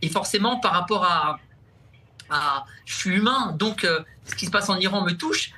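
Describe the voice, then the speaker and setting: A man's voice: high voice, lively intonation, pushed and bright. A young man, a comedian guest on a TV talk show, speaking casually with many restarts and repeated words. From France. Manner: halting, repeats words, laughs often. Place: TV studio.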